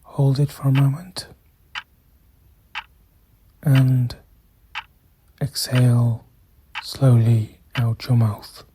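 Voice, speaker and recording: A meditation guide speaking softly and soothingly, in measured phrases with long pauses and a breath that shows at times. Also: mid-range voice, steady tone, noisy background. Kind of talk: slow guided meditation narration.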